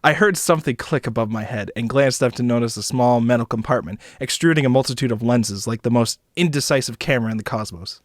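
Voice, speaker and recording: A man reading a story aloud as a streamer, in expressive narration with casual asides. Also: medium pitch, fast, few pauses, dry recording, clean studio sound. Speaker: streamer narrating a visual novel.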